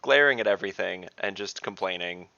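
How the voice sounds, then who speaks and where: A man's voice: low-pitched, fairly quick, vocal fry, bright, forceful tone, dry recording. A man casually describing a character as a player in a tabletop game on a video call. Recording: slightly dull video-call audio.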